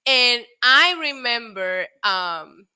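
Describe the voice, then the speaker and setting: A woman in her late thirties hosting a casual wrestling live stream, excitable and a little tipsy, laughing, swearing and dropping in Spanish phrases as she answers chat. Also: on the high side, extremely slow, clean tone. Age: 30s. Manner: frequent laughter and profanity. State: tipsy from drinks. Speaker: wrestling show host.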